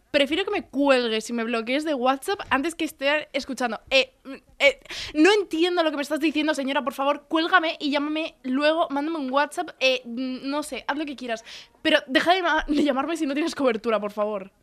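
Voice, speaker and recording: A woman's voice: sits high, normal speed. A young woman from Andalusia co-hosting a podcast, chatting casually with dry, wry, brief replies. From Andalusia, Spain. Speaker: podcast co-host. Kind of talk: casual banter.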